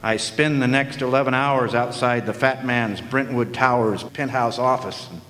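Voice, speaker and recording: An actor playing a wisecracking hard-boiled detective in a noir radio drama, deadpan and full of slang. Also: male voice, mid-range voice, normal speed, lightly acted. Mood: wry narration. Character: wisecracking hard-boiled male private detective narrating his case. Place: large hall, live stage performance. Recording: echoey hall, live stage performance.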